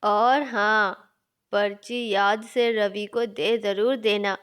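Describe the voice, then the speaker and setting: Woman as an older motherly mother-in-law, unhurried. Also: mid-range voice, steady tone, fairly bright tone, clean tone. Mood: brisk, instructing. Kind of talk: Hindi cartoon story voice acting.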